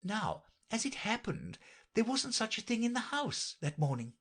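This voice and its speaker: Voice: medium pitch, big pitch swings, normal speed, a bit bright, breathy edge, pitched above the performer's own voice. A reader voicing a flustered, rambling queen in a storybook audiobook, with a fluttery, anxious manner. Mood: fretful. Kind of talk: audiobook character voice.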